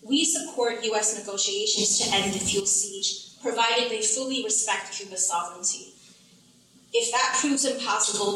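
A woman reading a firm, deliberate prepared statement at a hearing. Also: mid-range voice, bright, forceful tone, slight background noise. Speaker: witness testifying at a hearing. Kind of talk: formal prepared statement read aloud. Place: hearing room. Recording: echoey room, voice from far off mic.

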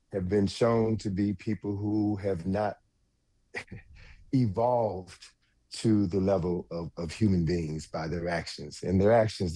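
A man speaking as a radio panel guest, discussing thoughtfully in deliberate, halting phrases. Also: low voice, dark tone.

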